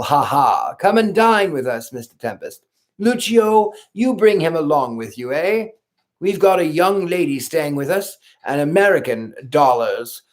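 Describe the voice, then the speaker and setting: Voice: mid-range voice, natural voice. A reader voicing a jovial old earl who laughs heartily and teases in a storybook reading. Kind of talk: audiobook reading.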